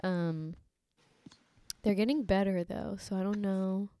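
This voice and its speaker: A young woman co-hosting a podcast in casual chat, a little congested from allergies, chatty and quick to laugh. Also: mid-range voice, steady tone, dark tone, smooth, no echo. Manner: chatty, laughs often, lots of fillers. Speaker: podcast co-host.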